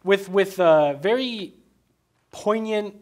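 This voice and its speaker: A man in a casual film discussion, thinking aloud in a halting way as he goes. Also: high-pitched.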